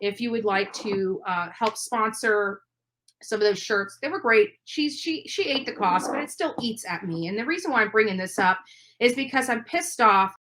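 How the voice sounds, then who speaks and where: A woman's voice: mid-range voice, expressive, normal speed. A woman hosting an informal live stream commentary, speaking emphatically with an aggrieved edge and repeating phrases to press her point. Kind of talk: informal live commentary on a true crime case.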